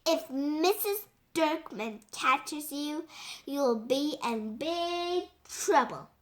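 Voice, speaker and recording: A woman's voice: sits high, lively intonation, extremely slow, fairly bright tone, full character voice. A young girl voicing an earnest, well-behaved schoolgirl in a storybook, insistent and easily indignant. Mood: warning. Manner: insistent, protesting.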